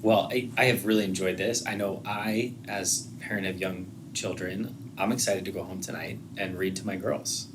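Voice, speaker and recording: A man's voice: sits low, fairly even intonation, moderate pace, hardly any pauses, background noise. A man hosting a podcast and interviewing his guests in a conversational way. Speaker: podcast host.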